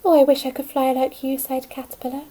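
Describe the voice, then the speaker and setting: A woman's voice: sits high, flat delivery, quick pace, warm tone. A woman voicing a wistful, hungry little male caterpillar in a children's storybook. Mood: longing. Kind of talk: children's storybook read aloud.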